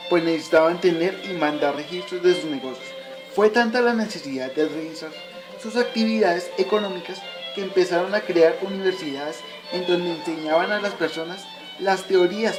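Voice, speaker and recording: Man playing a high-voiced fatherly teacher. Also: natural voice, rough recording. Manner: explanatory, scripted. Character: fatherly medieval man patiently teaching a youth. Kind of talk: amateur educational radio play.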